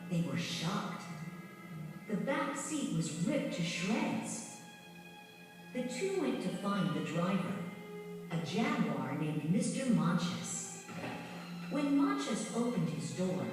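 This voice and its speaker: Female read-along narrator, lowish voice, animated delivery, distant in a reverberant space with music. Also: takes it slowly. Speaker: storybook narrator. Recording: very echoey, distant, music behind.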